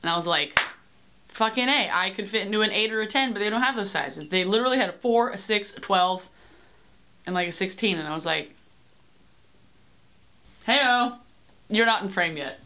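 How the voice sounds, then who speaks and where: A bright-toned young woman in her twenties talks rambly and unfiltered to a webcam in a small bedroom. Her speech is loose and full of fillers and casual cursing, at a middling pitch. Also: lively intonation, moderate pace, long pauses. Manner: rambling, lots of "like" and "um", swears casually. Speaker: vlogger. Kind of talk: casual chatty vlog. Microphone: webcam at desk distance.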